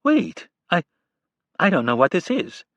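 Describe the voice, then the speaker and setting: A man's voice: fairly high pitch, wildly swooping pitch, unhurried, a bit bright, dry recording, voice pushed up, full character voice. A male narrator voicing an indignant middle-aged producer, flustered and huffy.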